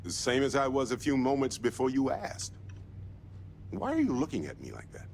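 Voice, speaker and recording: An actor playing a roguish, upbeat space explorer in a video game, wry and joking in manner. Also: male voice, mid-range voice, wildly swooping pitch, moderate pace, creaky, darkish tone, a little hiss or hum. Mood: wry, reassuring.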